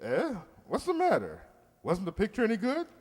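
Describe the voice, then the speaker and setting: Man acting pompous middle-aged suitor, bashful, hesitant, wildly swooping high-set voice, old-time radio comedy. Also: huge pitch swings, normal speed, in a large hall, heavily acted. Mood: eager.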